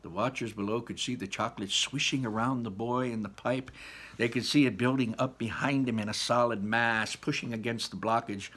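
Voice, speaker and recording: A man's voice: medium pitch, fairly even intonation, fairly quick, a bit bright. A man reading a children's story aloud with dramatic emphasis and a teacherly manner. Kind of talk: expressive children's story narration.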